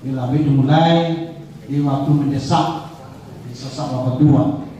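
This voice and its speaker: A man moderating an event and opening the question round, speaking haltingly with many fillers. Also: mid-range voice, steady tone, dark tone. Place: large echoey hall. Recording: echoey hall, distant mic, faint background noise.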